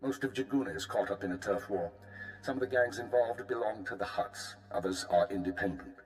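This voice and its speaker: A man as a calm, low-voiced spymaster. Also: fairly quick, soft background music, close to an everyday voice, low-quality recording. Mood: commanding. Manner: crisp, authoritative briefing. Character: male intelligence handler, calm and commanding. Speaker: spymaster giving orders. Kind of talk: video game voice acting.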